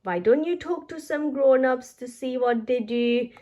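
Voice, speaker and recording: A woman voicing a gentle, encouraging gazelle schoolteacher in a children's storybook, in a reassuring manner. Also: sits high, measured pace.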